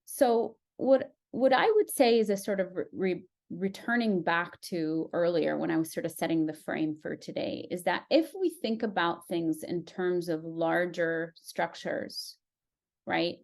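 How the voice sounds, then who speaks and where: A woman's voice: lowish voice, measured pace, gravelly, darkish tone. A woman psychologist giving earnest, reassuring advice in an interview, often checking in with "right?". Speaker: clinical psychologist guest.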